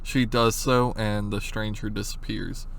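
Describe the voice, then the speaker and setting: A man's voice: on the low side, flat delivery, measured pace, creaky, a bit bright, a little hiss or hum. A man giving narrated analysis commentary, explaining in an informal way with casual asides. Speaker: video essayist, horror series analyst.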